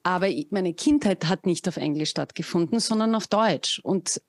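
Low-ish woman's voice, thoughtful, picks words carefully, dry close mic. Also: moderate pace, dry recording. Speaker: musician guest. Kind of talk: casual interview chat. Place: home room with bookshelves, video call.

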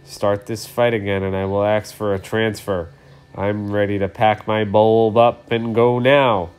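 A man voicing a cautious, weary female yellow traffic light that warns others, in a children's storybook. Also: fairly low pitch, flat delivery, unhurried, clean tone, voice pushed down, natural voice. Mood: weary, stern.

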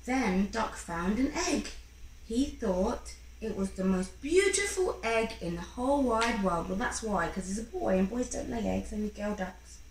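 A woman in her thirties reading a picture book to a young child in an expressive, encouraging way, pausing to ask questions. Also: medium pitch. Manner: expressive, encouraging, acting out sound effects. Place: small room at home, indoors. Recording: roomy home recording with faint background noise.

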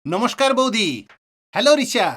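A man playing a calm psychiatrist and family friend in an audio drama, explaining in a measured way. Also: very high-pitched, full character voice. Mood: cordial. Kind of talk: Bengali audio drama acting.

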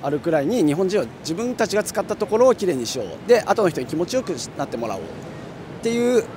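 Quick, animated young man explaining. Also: high voice. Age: young adult. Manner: earnest, explanatory. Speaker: interviewee. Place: city street outdoors. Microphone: handheld mic held by interviewer. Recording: street noise outdoors.

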